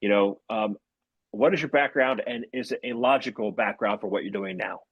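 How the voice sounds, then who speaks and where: Male host, upbeat, husky, repeats himself a little, dry sound. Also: on the low side, moderate pace, no echo, lo-fi sound. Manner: upbeat, repeats words when unscripted. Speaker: podcast host. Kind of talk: podcast interview hosting.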